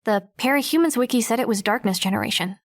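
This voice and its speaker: A woman playing a guarded, observant teenage girl who narrates an audiobook in the first person, reflective and measured. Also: a little high. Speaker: narrator and lead character.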